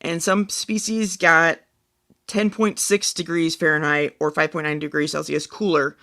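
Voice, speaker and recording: Casting: male podcast co-host, higher voice with a bright, forward edge, conversational, thinking out loud. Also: flat delivery, a little slow. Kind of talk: casual chat, relaying a science story.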